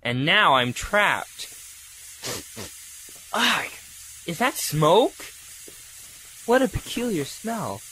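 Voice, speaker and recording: A man's voice: sits high, sharp tone, a little hiss or hum, full character voice, rough recording. A man playing a plucky, polite young reporter in a radio adventure, with theatrical, exclamatory delivery. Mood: panicked, choking. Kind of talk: radio-play adventure acting.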